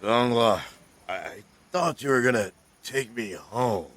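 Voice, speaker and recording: An actor playing a tipsy, sardonic drinking companion who teases flippantly in an audio drama, faint bustle behind. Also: male voice, mid-range voice, lively intonation, measured pace, fairly bright tone. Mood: tipsy, mocking. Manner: teasing, slack, sardonic.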